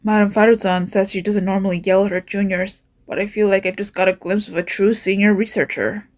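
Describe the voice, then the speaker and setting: A woman's voice: medium pitch, level tone, a little fast. A woman acting out scripted fantasy game dialogue as an amateur voice actor. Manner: acted, reading scripted lines.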